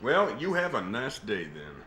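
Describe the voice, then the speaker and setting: Man acting a flustered Southern gentleman, swooping pitch. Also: wildly swooping pitch, measured pace, slight background noise, full character voice. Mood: puzzled, apologetic. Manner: courteous, hesitant, stammering. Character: polite, flustered Southern man, a Vietnam veteran. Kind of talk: acted comic dialogue. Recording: echoey, roomy sound.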